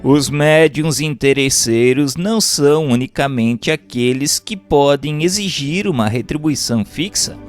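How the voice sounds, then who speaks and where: A man's voice: on the high side, unhurried, few pauses. Formal Brazilian man narrating over music. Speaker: narrator reading a book chapter. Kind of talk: scripted narration of a spiritual treatise. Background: background music.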